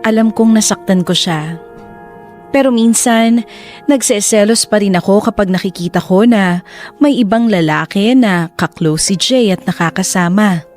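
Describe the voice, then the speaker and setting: An actress playing a reflective, remorseful lover who narrates a love story in the first person. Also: female voice, medium pitch, moderate pace, darkish tone, faint music behind, natural voice.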